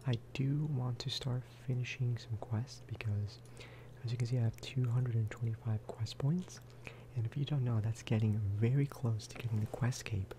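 A man giving a relaxed, casual ASMR gameplay commentary in a soft, breathy whisper, close to the microphone. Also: mid-range voice, moderate pace, noisy background. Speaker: video game streamer and host.

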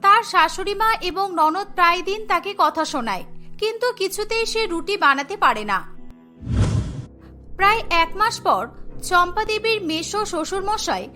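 A woman narrates a scripted cartoon tale in a very high, bright voice. Soft music plays behind her storytelling. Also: lively intonation, normal speed.

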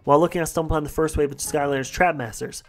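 A man's voice: on the high side, steady tone, soft background music. A young man narrating a countdown voice-over with enthusiasm over gameplay footage. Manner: enthusiastic, brisk reading. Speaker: gaming channel host.

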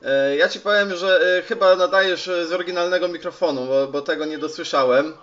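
A man talking casually as a radio operator on a contact, with a hesitant, halting delivery, recorded on a phone indoors. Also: high voice, fairly bright tone. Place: small indoor room at home. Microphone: handheld phone filming the radio. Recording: dull, lo-fi phone video sound.

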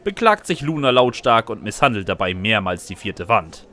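A man's voice: on the low side, sing-song, moderate pace, pushed and bright. A man playing a sarcastic student who narrates his own chaotic life in scripted comic first person. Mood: wry narrating. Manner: wry, sarcastic. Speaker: narrator and lead character.

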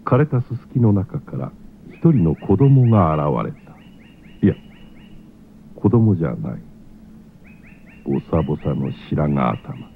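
Radio drama narrator: ominous, low and gravelly, band-limited vintage sound. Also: male voice, a little hiss or hum, full character voice. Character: ominous male storyteller. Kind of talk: scripted suspense narration, 1970s radio drama. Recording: tinny old radio, loud music. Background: loud music.

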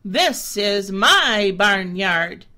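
A woman's voice: medium pitch, animated, drawn-out words, pushed and bright, full character voice. A woman playing a bossy billy goat. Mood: boastful.

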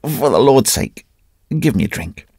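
A man playing a broken, ragged, grey-haired wanderer who whines and pleads, halting often with "uh" as he speaks. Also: mid-range voice, expressive, a little mellow, breathy edge, dry recording, studio quality, voice pushed up. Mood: pleading. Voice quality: whining, whimpering.

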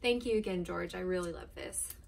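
A woman's voice: on the low side, fairly quick, creaky, a loud crowd. A woman in her thirties co-hosting a casual vlog, cheerfully opening and reading out mail in a warehouse. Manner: cheerful, giggly, reading aloud. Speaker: co-host, host's wife. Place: large indoor warehouse.

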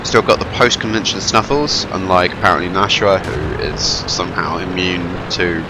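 Low-voiced man with a cold. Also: moderate pace, very noisy. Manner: rambling, wry, self-deprecating. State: stuffy head cold, voice going. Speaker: gameplay commentator. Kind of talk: casual gameplay commentary. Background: game sounds, gunfire and engines.

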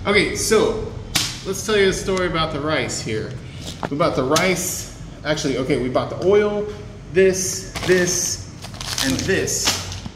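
A man in his thirties chatting casually through a grocery haul, relaxed and chatty, recorded on a camera mic in an apartment room. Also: fairly high pitch, unhurried, few pauses. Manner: relaxed, chatty, chuckles often. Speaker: travel vlogger. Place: bright room of a rented apartment. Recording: roomy echo with clear background noise.

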